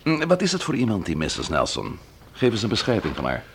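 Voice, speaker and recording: Male investigator, very low pitch, lively intonation, probing, composed, measured, radio drama. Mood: calm, firm. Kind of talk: radio drama acting in Dutch. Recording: radio play with faint background noise.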